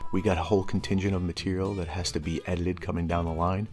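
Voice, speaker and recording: A middle-aged man hosting a tabletop gaming explainer, reading prepared lore in a wry, informal way with casual asides. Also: sits low. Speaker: host of a tabletop gaming channel.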